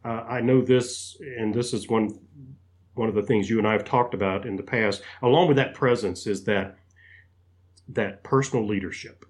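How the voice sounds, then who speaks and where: A man's voice: fairly low pitch, fairly even intonation, moderate pace. Man hosting an interview with rambling questions. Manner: rambling, circling questions with many restarts. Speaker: podcast host, interviewer. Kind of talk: conversational interview.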